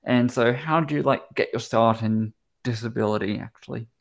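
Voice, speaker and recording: A man's voice: mid-range voice, moderate pace. A young man hosting a casual podcast interview, talking hesitantly with many restarts. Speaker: podcast host.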